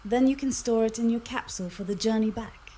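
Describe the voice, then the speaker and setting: A woman's voice: a little high, fairly quick, dark tone. A synthetic woman's voice as an AI copilot assistant, giving neat instructional guidance. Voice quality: synthetic text-to-speech voice. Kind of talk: instructional, scripted-sounding guidance. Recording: clear background noise.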